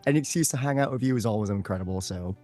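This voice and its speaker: A man's voice: fairly low pitch, racing, darkish tone, faint music behind. A man chatting casually as an interview guest, effusive and enthusiastic in how he talks. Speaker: guest, voice and screen actor. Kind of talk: casual chat and anecdote.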